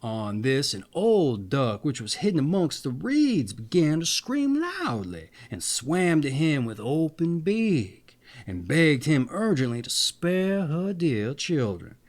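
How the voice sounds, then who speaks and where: Slow, expressive male storyteller. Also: a little high. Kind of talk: fairy tale audiobook narration.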